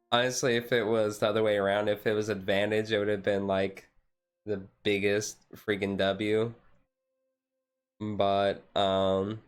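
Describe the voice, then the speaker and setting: A man chatting casually on a relaxed livestream in a rambling, easygoing way. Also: on the low side. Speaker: streamer, tabletop game master. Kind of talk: casual chat on a relaxed ASMR-style livestream.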